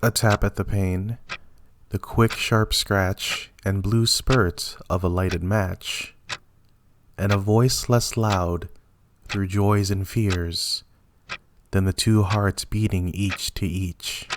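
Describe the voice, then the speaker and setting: A man's voice: low-pitched, fairly even intonation, slow, a little hiss or hum. A man giving a dramatic reading of classic love poetry, line by line. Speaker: poetry reader.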